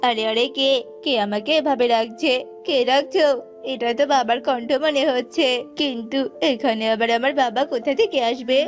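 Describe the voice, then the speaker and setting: A woman's voice: high-pitched, moderate pace, close to an everyday voice. A woman voicing a helpless young jackal boy in a whiny, pleading cartoon style. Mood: puzzled. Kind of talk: cartoon voice acting. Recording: muffled, music underneath.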